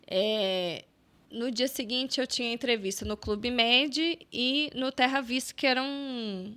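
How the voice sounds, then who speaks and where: Bright-voiced Brazilian woman telling stories. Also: a little high, slow, clean tone. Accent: Brazilian Portuguese. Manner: animated, many fillers and quoted self-talk. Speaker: guest. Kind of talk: casual storytelling interview.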